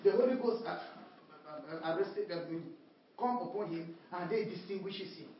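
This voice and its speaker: A woman's voice: lowish voice, lively intonation, normal speed, slight background noise. Woman, preacher, emphatic repeated refrains, very echoey church hall, distant dull recording. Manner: repetitive call-and-response preaching, emphatic refrains. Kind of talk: sermon.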